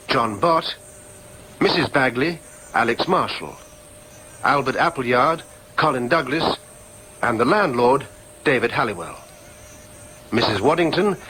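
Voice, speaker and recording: Bright-voiced formal radio announcer, male. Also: medium pitch. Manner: formal, measured. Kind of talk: scripted cast credits announcement. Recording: faintly noisy radio broadcast recording.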